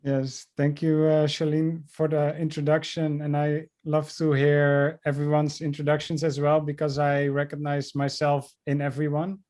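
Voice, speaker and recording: Very monotone man, slightly high voice. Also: moderate pace, few pauses. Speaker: community organizer and speaker. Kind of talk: informal spoken address at an event.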